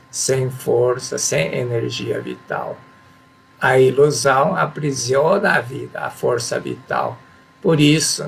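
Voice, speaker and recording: A man's voice: medium pitch, creaky, a bit bright, slight background noise, low-quality recording. A man giving a religious teaching talk, reading passages aloud, explaining them and repeating affirmations with emphasis. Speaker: spiritual teacher.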